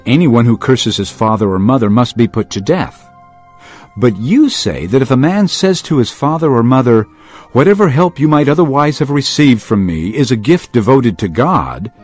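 A firm earnest bible teacher, kinda husky, emphatic, swings in pitch, audiobook drama. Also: male voice. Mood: stern, indignant. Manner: emphatic, deliberate, declarative.

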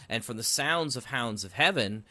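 A man's voice: mid-range voice, lively intonation, moderate pace, bright, forceful tone, dry recording. A man hosting a casual interview about his field, talking in an enthusiastic way. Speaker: podcast host and audio drama writer.

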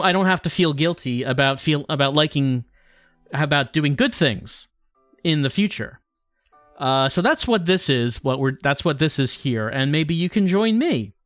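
A man's voice: medium pitch, a bit bright, clear, resonant tone, no echo. A man doing casual livestream commentary and reading game text aloud, in a wry, self-deprecating manner. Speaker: game streamer.

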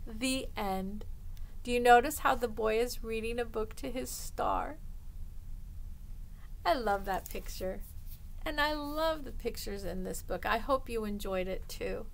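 High-voiced woman reading a children's storybook. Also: gravelly, a little hiss or hum, poor audio. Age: middle-aged. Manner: deliberate, drawing out phrase endings for young listeners. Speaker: storytime host reading a picture book to children. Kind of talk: expressive children's storybook read-aloud. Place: small indoor room lined with bookshelves.

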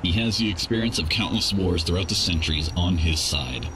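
A man voicing a cold, dismissive robot contract broker with a deadpan manner in a game. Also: low voice, brisk, lightly acted. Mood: stern. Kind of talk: animated game cutscene acting. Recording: clear noise behind the voice.

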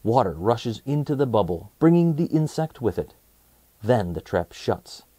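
A man in his thirties hosting a children's read-aloud, presenting nonfiction in a deliberate, emphatic storytelling way. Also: medium pitch, sing-song, warm tone, husky, dry recording.

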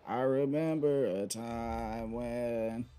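A man's voice: mid-range voice, very slow, warm tone, clear, resonant tone. A young man giving sarcastic, trash-talking commentary while playing a game. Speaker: gamer. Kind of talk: gameplay commentary.

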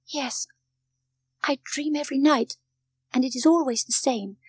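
A woman voicing a gentle, homesick little girl in a storybook, speaking in an earnest, hesitant way. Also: high-pitched, a little slow, no echo, voice pushed up. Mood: wistful, homesick.

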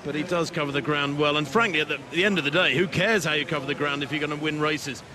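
A man's voice: on the high side, quick pace, a crowd in the background. A man giving conversational expert analysis during an athletics broadcast. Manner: conversational, explains tactics and splits. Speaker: expert co-commentator. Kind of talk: analytical race commentary. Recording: broadcast commentary over loud stadium noise.

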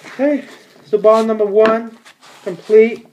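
A man's voice: sits high, fairly even intonation, measured pace, a little mellow, a little hiss or hum, rough recording. A teenage boy in a small room at home, giving a casual how-to in a rambling, plain-spoken way with many fillers and restarts. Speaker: bowler demonstrating ball cleaning.

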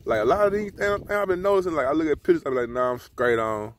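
A man's voice: on the high side, animated, fast. Dude talking sneakers outside by his car, slangy and loose, cussing a lot, faint outdoor hum behind him. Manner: laid-back slangy, loose and profane. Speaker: sneaker reviewer. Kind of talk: casual product review vlog. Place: outdoors beside a car in a yard.

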